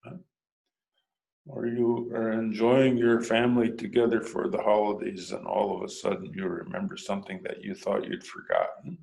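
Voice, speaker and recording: Male preacher, unhurried and thoughtful, low voice with heavy vocal fry. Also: flat delivery, darkish tone, poor audio. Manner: thoughtful, prompts listeners with "hmm?" and "huh?". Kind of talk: reflective sermon lecture.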